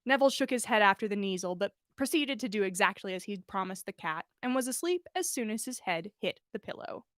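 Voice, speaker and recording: A woman narrating fiction aloud as a podcast host, animated and expressive in the way she tells it. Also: mid-range voice, fairly quick, no room sound, clean studio sound. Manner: animated storyteller, acts out dialogue. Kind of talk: expressive story narration, livestream read-aloud.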